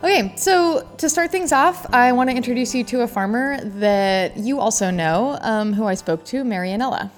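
A woman from the United States hosting a podcast, reading scripted narration in a clear, upbeat way. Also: a little high, lively intonation, normal speed, few pauses, faint music behind. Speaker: podcast host.

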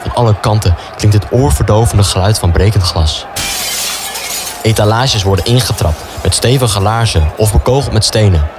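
A man's voice: fairly low pitch, close to an everyday voice. A young man playing a stubborn, idealistic student in a wartime radio play, earnest and self-justifying. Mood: resolute, then doubting. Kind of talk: radio play dialogue and inner monologue.

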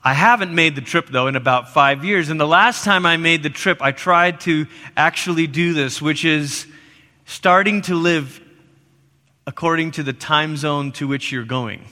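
A middle-aged man from Malaysia preaching a sermon in a church, swinging between loud emphatic lines and soft hushed ones. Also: a little high, moderate pace. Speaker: pastor. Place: church sanctuary. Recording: long reverb of a church hall.

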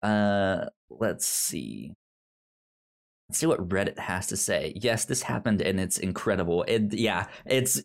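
A man co-hosting a casual podcast, talking animatedly, laughing, and reading web quotes aloud. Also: fairly low pitch. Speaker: podcast co-host.

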